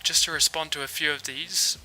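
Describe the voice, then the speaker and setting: Bright-voiced New Zealand man coding live. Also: a little high, a little fast, a little hiss or hum, poor audio. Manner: relaxed, thinks aloud with fillers while working. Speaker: developer livestream host. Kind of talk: casual live coding stream.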